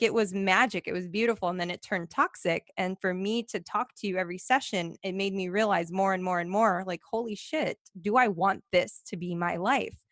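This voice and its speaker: A woman's voice: medium pitch, no room sound, studio quality. A woman hosting a podcast, chatty and enthusiastic, swearing casually as she responds to her guest. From United States. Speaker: podcast host.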